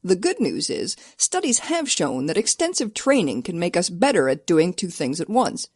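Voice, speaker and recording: A woman's voice: fairly low pitch, big pitch swings, moderate pace, darkish tone, slightly rough, no room sound, studio quality. A woman reading scripted science narration in a conversational, rhetorical way.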